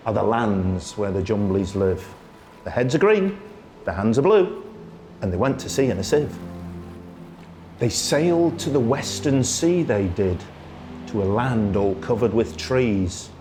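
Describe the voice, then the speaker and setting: Man reading verse with very lively, swooping pitch. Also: over music. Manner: theatrical, singsong, drawn-out phrasing. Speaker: storyteller, radio presenter. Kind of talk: expressive children's poetry recitation.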